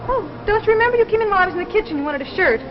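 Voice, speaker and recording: An American actress playing an anxious, eager wife, pleading and exclamatory, in a 1950s science fiction drama. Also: female voice, very high-pitched, animated, very fast. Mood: eager, puzzled. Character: anxious, eager young wife, easily frightened. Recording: muffled old broadcast, very loud music.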